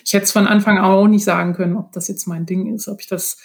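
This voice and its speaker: A woman's voice: mid-range voice, flat delivery, fast, darkish tone. A woman speaking as a guest author in a casual podcast conversation, explaining things in a reflective way.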